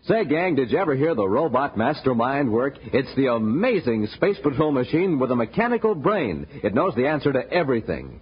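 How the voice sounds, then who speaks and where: A man's voice: medium pitch, big pitch swings, a little fast, few pauses. A man announcing in the style of a 1950s children's radio show, punchy and enthusiastic. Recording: muffled old radio recording, slight noise.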